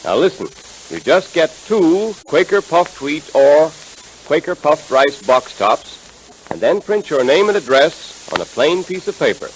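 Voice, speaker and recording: A man announcing in 1930s radio style, making an emphatic, enthusiastic scripted pitch to children. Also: big pitch swings, unhurried, low-quality recording. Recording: hissy 1930s radio recording.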